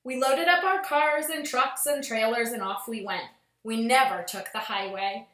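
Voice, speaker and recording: A woman reading a children's storybook aloud as a schoolteacher would, with expressive storytelling, recorded in a roomy space at home. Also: on the high side, normal speed.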